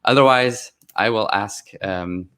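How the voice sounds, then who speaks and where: A man's voice: on the low side, takes it slowly, fairly bright tone, smooth. A man hosting a live online interview, friendly and upbeat, now and then fumbling his words. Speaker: host. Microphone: video call.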